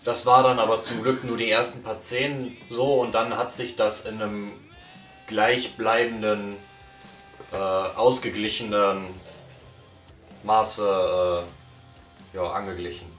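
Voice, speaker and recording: A man's voice: fairly low pitch, level tone, a little slow, long pauses, fairly bright tone. A man reviewing in a casual couch chat, blunt and slangy. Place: small living room, on a couch. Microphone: far camera mic. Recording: roomy, distant mic, faint music.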